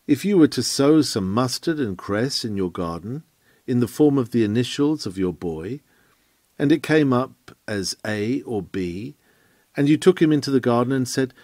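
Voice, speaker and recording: A man reading an old sermon aloud in an emphatic, rhetorical manner with measured phrasing. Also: mid-range voice, expressive, a little mellow, dry recording. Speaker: reader of a historical sermon.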